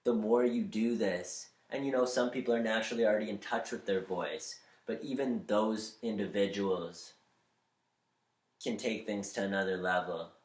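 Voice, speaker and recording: A man with a slightly nasal voice giving upbeat, spiritual advice on using your voice, shifting between quick bursts and slow, deliberate lines. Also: fairly low pitch, roomy sound. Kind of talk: motivational talk to camera.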